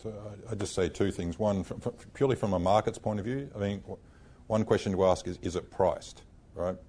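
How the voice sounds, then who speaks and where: A man's voice: on the low side, brisk, dark tone, slightly rough. A man, a central bank panelist speaking frankly and emphatically in plain, casual phrasing. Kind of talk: panel discussion answer.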